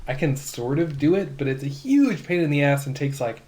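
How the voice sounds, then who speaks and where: A man's voice: a little high, very animated, moderate pace, a little mellow. A man co-hosting a podcast in a laid-back casual chat, laughing often and chiming in with short replies. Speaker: podcast co-host.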